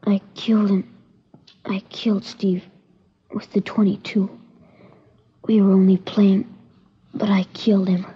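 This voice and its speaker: A woman playing a scared, guilt-stricken nine-year-old boy in a radio drama, speaking in a halting, breathy, pleading whisper. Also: mid-range voice, flat delivery, mellow. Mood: guilty, frightened. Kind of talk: 1950s radio drama acting. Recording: muffled old radio recording.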